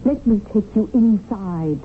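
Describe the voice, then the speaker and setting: An actress playing a poised, scheming, seductive widow in a 1970s radio drama, with a self-assured, insistent manner. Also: female voice, mid-range voice, lively intonation, a little slow, natural voice. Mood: seductive, urging. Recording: tinny, noisy old radio broadcast.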